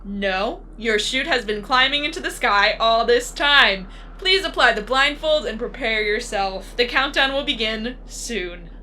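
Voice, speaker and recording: A woman's voice: a little high, animated, measured pace, few pauses, pushed and bright, a little hiss or hum. A woman playing a disembodied, coolly menacing female announcer of a deadly arena game in an audio drama, composed and instructive. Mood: commanding. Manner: composed, instructive, matter-of-fact about death. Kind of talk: scripted audio drama acting.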